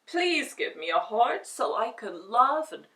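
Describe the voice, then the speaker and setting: A woman's voice: on the high side, big pitch swings, unhurried, pushed and bright, recorded from a distance, voice pushed up, full character voice. A woman voicing a male tin man who begs for a heart. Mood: pleading. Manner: begging. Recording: echoey room, voice off-mic.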